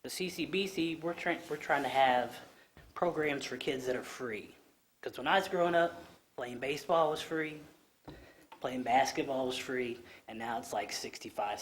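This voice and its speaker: Earnest low-voiced man at a podium. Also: slight background noise. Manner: earnest, plainspoken, frequent ums and restarts. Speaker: community organization speaker at public comment. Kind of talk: public comment speech at council meeting. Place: council chamber. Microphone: podium microphone.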